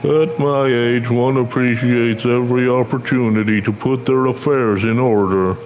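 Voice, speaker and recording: A wise, elderly man from a fantasy game , acted by a man. He speaks courteously and formally at a middle pitch, without hurry. Faint music sits underneath. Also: steady tone, normal speed, a little mellow, close to an everyday voice, low-quality recording. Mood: approving, reflective. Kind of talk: reading game dialogue aloud.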